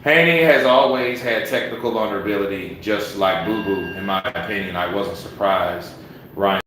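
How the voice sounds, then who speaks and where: A man's voice: lowish voice, normal speed, noisy background. An American man hosting a casual live-stream boxing chat, talking loosely and repeating himself to make his point. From United States. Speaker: boxing commentary host reading live chat. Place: after leaving the gym.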